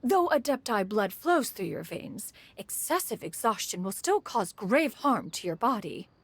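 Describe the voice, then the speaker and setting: A proud ancient crane spirit. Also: female voice, fairly high pitch, very animated, measured pace, husky, dry recording, full character voice. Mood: stately. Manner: archaic, refers to herself as "one", haughty. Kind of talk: video game voice acting.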